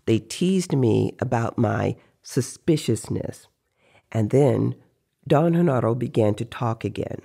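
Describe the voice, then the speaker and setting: A narrator tells a dramatized story at a slow, deliberate pace. The voice is mellow and dark, with a creaky edge. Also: mid-range voice, lively intonation.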